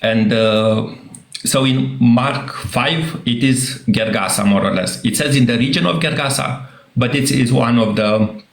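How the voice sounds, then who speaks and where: A man with a Romanian accent, a native Romanian speaker, arguing in a casual debate and often pausing to search for words. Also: medium pitch, gravelly, reverberant. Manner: halting, searching for words, fillers.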